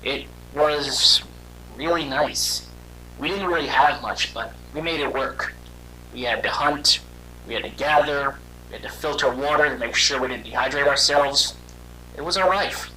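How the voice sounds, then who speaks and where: A man voicing a weary young robot hedgehog, telling his story in a halting, conversational and dramatic way for a fan audio drama. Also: mid-range voice, sharp tone, slight background noise, lightly acted, low-quality recording. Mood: somber, reflective.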